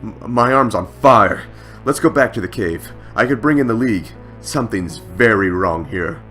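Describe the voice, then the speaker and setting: A man playing a brash, cocky but easily rattled young space superhero in an audio drama, speaking urgently and loudly. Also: on the low side, expressive. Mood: angry, panicked. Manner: urgent, loud, quick, exclaiming. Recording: background music under the voice.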